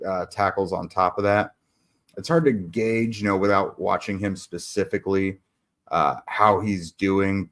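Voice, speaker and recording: A man's voice: low voice, a bit bright. A man hosting a sports podcast, recapping a game in a casual way with lots of fillers and restarts while losing his voice. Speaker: podcast host.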